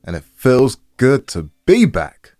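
A man's voice: medium pitch, huge pitch swings, slow pace, darkish tone, no room sound. A man co-hosting a podcast in casual, joking banter, playful and repeating phrases for emphasis. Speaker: podcast co-host.

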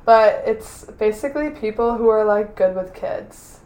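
A young woman co-hosting a casual podcast, blunt and joking, and a little hoarse from losing her voice. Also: on the high side, a little slow, darkish tone. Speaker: podcast co-host. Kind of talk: casual chat. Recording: echoey room.

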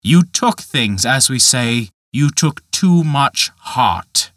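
A man's voice: mid-range voice, expressive, fairly bright tone, dry recording, clean studio sound. Dutch Michael, a man voicing a scornful giant tempter. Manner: slow, mocking, emphatic. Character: huge menacing forest giant spirit, scornful tempter.

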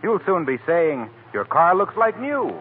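Animated man, vintage radio announcer. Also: sits high, measured pace. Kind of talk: 1940s radio advertisement. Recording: tinny old radio broadcast with music.

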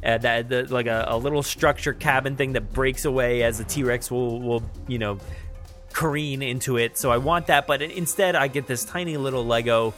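A man hosts a podcast in a casual, unscripted style. He talks haltingly, thinking aloud as he goes, at a middle pitch with faint music in the recording. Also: steady tone, fairly bright tone. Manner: halting, thinking aloud while describing what he sees. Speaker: podcast host. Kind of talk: casual unscripted news chat.

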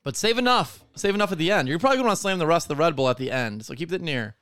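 A hungover young man in his twenties with a slightly hoarse throat, hosting a crude, joking podcast chat at home. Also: a little high, animated, very fast, a bit bright, no echo, studio quality. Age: 20s. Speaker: podcast host.

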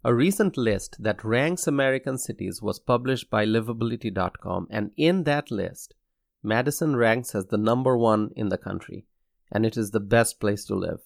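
Young guy from bangladesh doing a podcast monologue, slow and earnest, kinda gravelly, dry close sound. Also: on the low side, no room sound. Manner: measured, earnest, slow deliberate delivery. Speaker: podcast host.

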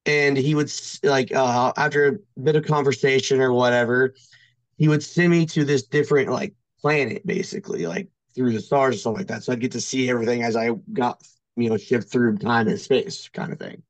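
A man's voice: mid-range voice, fairly even intonation, brisk, no room sound, poor audio. A man chatting casually as a podcast guest, telling personal stories in a rambling, hesitant way peppered with "like". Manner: rambling, heavy use of "like", hesitant. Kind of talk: casual chat, personal storytelling.

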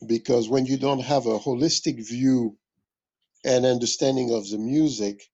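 Husky, deliberate man from West Africa. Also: mid-range voice, steady tone, mellow, highs cut off. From West Africa (Mali). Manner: deliberate, scholarly, repeats phrases for emphasis. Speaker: interviewed jazz musician and music history teacher. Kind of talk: reflective interview talk, explanatory.

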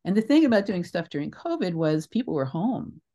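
Older American female, slightly lower register. Conversational interviewee, reflective and emphatic, prone to digression. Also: sing-song, fairly quick, warm tone. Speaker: interview guest, theatre creator.

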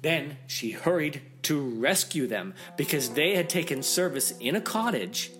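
Male storyteller, dramatic fairy tale narration, widely swinging pitch, slow pace, soft music underneath. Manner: theatrical, expressive.

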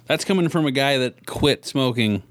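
A man's voice: mid-range voice, animated, moderate pace, a bit bright. A man co-hosting a podcast, chatting casually with wisecracks and anecdotes in an offhand, mildly profane way. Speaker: podcast co-host.

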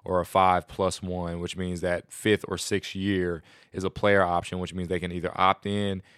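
A man hosting a casual solo podcast, excited and rambling, a little nasal and sniffly from a cold. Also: low-pitched, moderate pace, smooth, no echo, studio quality. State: congested from a stomach bug. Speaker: podcast host.

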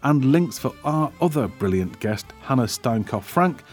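A man's voice: medium pitch, lively intonation, normal speed, darkish tone, faint music behind. A male podcast host chatting wryly about a film, then reading the show's closing credits. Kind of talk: casual film chat and scripted outro.

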